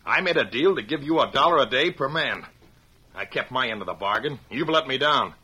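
An actor playing a domineering, hot-tempered middle-aged contractor who barks orders, in an old radio drama. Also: male voice, medium pitch, animated, fairly quick, bright, forceful tone, husky. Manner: barking, bullying. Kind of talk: 1930s radio acting.

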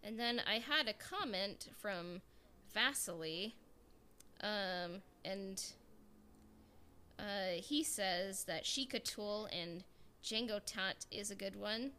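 A woman's voice: medium pitch, slow pace, sharp tone, a little hiss or hum. A woman hosting a casual podcast, chatting informally and reading out listener comments. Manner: informal, conversational. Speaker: podcast host.

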